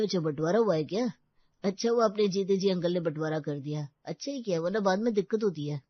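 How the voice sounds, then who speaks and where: A woman's voice: on the high side, no room sound, dull audio. A woman playing a scheming, falsely sweet middle-aged mother with an insinuating, emphatic manner in a story.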